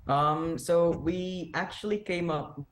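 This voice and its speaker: A man's voice: a little high, fairly even intonation, a bit bright, slight background noise. Young Cebuano man in an echoey room. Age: 20s. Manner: measured, fluent English with Cebuano phrases. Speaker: student guest. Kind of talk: live interview answers.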